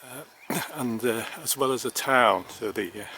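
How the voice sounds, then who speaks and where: A man's voice: mid-range voice, measured pace, sharp tone. A man from Wales, vlogging casually as he cycles, rambling with many hesitations but chatty and polite, recorded outdoors on a handheld camera mic. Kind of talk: casual vlog narration. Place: outdoors by a road and in a country park. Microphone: handheld camera mic, partly taped over. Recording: outdoor camera audio with traffic and wind noise.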